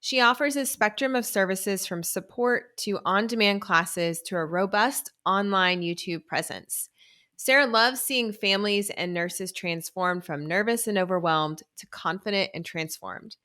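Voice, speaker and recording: A woman's voice: mid-range voice, unhurried, sharp tone. A woman hosting a podcast, reading an upbeat introduction and asking interview questions. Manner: upbeat, enthusiastic. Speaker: podcast host.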